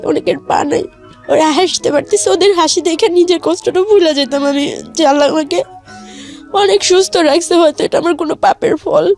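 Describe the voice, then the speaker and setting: A woman with a Bangladeshi accent earnestly recounting her own life story in colloquial speech as an interview guest. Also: extremely high pitch. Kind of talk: personal life-story narration in a radio interview.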